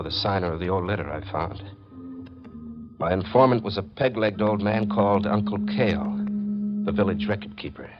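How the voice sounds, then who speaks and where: Man playing a lowish-voiced young radio-mystery hero. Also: lightly acted. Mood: tense narration. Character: wary young fortune hunter narrating his own mystery. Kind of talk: 1940s radio mystery acting and narration. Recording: dull, noisy 1940s radio recording.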